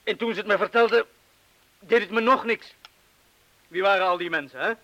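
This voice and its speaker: A man's voice: high-pitched, moderate pace, a bit bright, muffled recording. An actor in a radio drama playing a blunt, haunted bomb disposal man with a terse, urgent way of talking. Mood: brooding, blunt.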